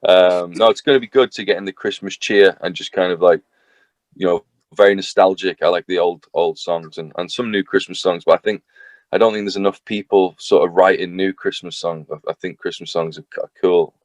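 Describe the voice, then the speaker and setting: A man's voice: sits low, steady tone, fairly quick, no room sound. A young man with a Northern English accent, a singer chatting casually as a guest, rambling and self-deprecating with lots of fillers. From north of England. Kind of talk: casual interview chat.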